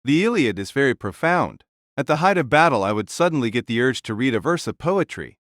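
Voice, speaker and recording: A man's voice: medium pitch, big pitch swings, a little fast, a bit bright, no room sound, studio quality. A man playing a fearless, hearty ancient warrior-king in an audio drama, speaking bluntly and grandly. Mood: matter-of-fact boasting.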